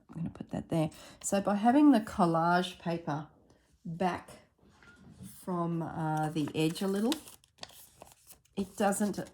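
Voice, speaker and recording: A woman with a slightly husky voice narrating a casual craft tutorial at her table, thinking aloud as she works. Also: lowish voice, a little mellow, slight background noise. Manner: unhurried, thinks aloud while working. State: recovering from illness, slightly husky throat. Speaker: craft vlogger.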